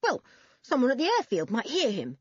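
A woman's voice: a little high, huge pitch swings, a little fast, darkish tone, breathy edge, no echo, dull audio, pitched above the performer's own voice, heavily acted. A woman voicing a cocky, short-tempered young boy in a children's story, breezy and insistent. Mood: urgent, scolding.

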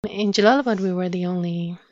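Female interview guest, lowish voice, halting delivery, dry dull lo-fi call audio. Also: a little mellow, dry recording. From Afghanistan. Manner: thoughtful, many pauses and fillers. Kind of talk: interview conversation. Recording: dull lo-fi sound.

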